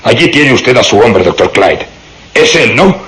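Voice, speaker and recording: Un actor interpretando a un inspector de policía insistente y triunfal, de hablar declamatorio, en una radionovela. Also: male voice, medium pitch, very animated, a little slow, fairly bright tone. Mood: urgent, insistent. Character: insistent, triumphant male police inspector, sure of himself. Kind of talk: radio drama acting.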